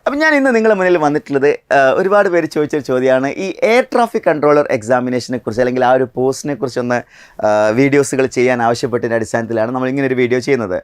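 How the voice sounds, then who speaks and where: A man's voice: mid-range voice, very fast, smooth, dry recording, studio quality. A man with a Malayali accent presenting an explanatory exam lesson as a coaching instructor, addressing viewers directly with rhetorical questions.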